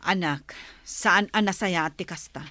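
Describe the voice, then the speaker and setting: A woman's voice: fairly low pitch, unhurried, bright, forceful tone, no echo. A woman playing a weary, patient village mother who pleads with and admonishes her children, in an Ilocano-accented radio drama. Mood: pleading. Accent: Ilocano, northern Philippines.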